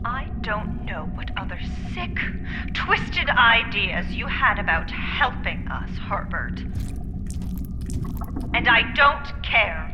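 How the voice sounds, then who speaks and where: An actress playing a commanding, angry woman scientist in an audio drama, forceful, biting and urgent. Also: on the high side, very animated, takes it slowly, lo-fi sound, over loud music. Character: commanding, furious woman scientist facing a disaster. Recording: long reverb, noisy ambience.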